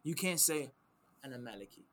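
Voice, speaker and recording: A man's voice: mid-range voice, animated, brisk, fairly bright tone. A man explaining religious principles in a conversation. He is emphatic, repeats key phrases and quotes Arabic texts. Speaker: Islamic teacher answering questions.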